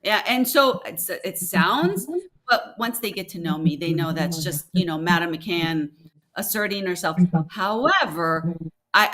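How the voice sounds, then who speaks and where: A woman's voice: medium pitch, a bit bright. A woman born in Mexico whose first language is Spanish, a French teacher chatting as a guest, assertive and full of classroom stories. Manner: assertive, storytelling, acts out classroom exchanges. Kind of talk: casual conversational interview.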